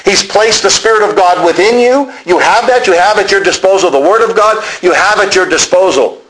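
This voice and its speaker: Higher-pitched male preacher, emphatic and explanatory. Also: fairly quick, a bit bright, low-quality recording. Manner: emphatic repetition of key phrases; explanatory, addressing listeners directly. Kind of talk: sermon.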